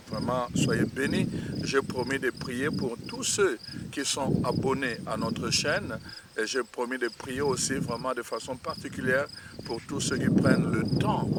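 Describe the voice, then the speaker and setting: A man's voice: medium pitch, heavy background noise. Hesitant preacher man, distant mic. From Congo. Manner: halting, many fillers and restarts. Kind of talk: online sermon. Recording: distant mic, crickets and insects behind.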